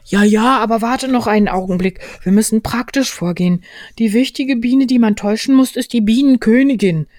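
A woman voicing a naive, earnest male toy bear from a storybook, speaking in a ponderous, deliberate manner. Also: fairly high pitch, a little mellow, no echo, studio quality, voice pushed up, lightly acted. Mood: earnest, scheming. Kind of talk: storybook character voice.

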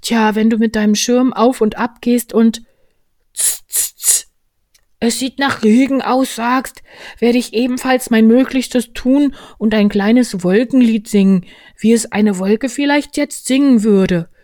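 Casting: woman as a male toy bear for a storybook, high-voiced, naive, ponderous and earnest, close dry sound. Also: measured pace, mellow, no echo, natural voice. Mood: earnest, scheming. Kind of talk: storybook character voice.

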